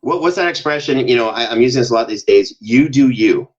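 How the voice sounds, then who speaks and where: A man's voice: mid-range voice, animated, fast, dull audio. A middle-aged American man chatting casually as a podcast guest, with a joking, dryly sarcastic manner. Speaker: podcast guest, audio drama producer. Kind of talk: casual conversational interview.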